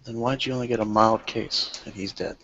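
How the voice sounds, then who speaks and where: A man's voice: lowish voice, fairly even intonation, a little fast, a bit bright, a little hiss or hum. A man in a tabletop roleplay, asking short, probing questions. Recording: dull lo-fi recording.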